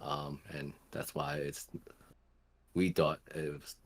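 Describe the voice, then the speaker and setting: A man's voice: rumbling low, level tone, slow. A man giving opinions as a panelist in casual, loosely phrased, somewhat hesitant talk. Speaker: gaming show panelist.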